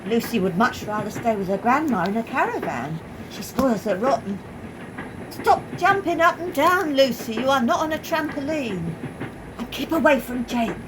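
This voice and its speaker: A woman's voice: very animated, normal speed. A woman playing a blunt, put-upon British working-class mother in a radio play, by turns scolding and resigned. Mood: weary grumbling. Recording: clear noise, train rumble.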